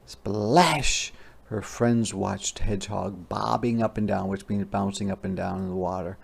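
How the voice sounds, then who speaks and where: A man reading a storybook aloud as a teacher, expressively, pausing to explain words simply for children. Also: lowish voice, gravelly, breathy edge.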